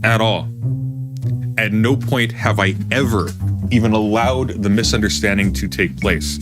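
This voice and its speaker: A man's voice: on the low side, measured pace. A man giving casual live commentary. He sounds defensive and stresses his points by repeating them. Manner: defensive, emphatic repetition. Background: music underneath.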